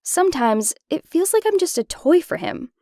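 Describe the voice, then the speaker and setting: A woman's voice: very high-pitched, very animated, normal speed, a little mellow, no room sound, clean studio sound, exaggerated voice. A woman voicing an insecure teenage schoolgirl, confiding wistfully in a first-person cartoon story narration. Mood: self-doubting. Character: insecure teenage schoolgirl confiding about dating a rich popular boy.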